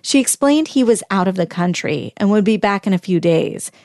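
A woman's voice: medium pitch, animated, moderate pace, a little mellow, no room sound. Woman news reporter, dry close sound.

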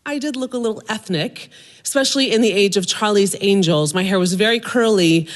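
A middle-aged woman from suburban Maryland tells a personal story onstage in a self-mocking, comic way. Her voice sits at an ordinary pitch for a woman, and her timing carries the jokes. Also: a little fast. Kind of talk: live humorous personal storytelling.

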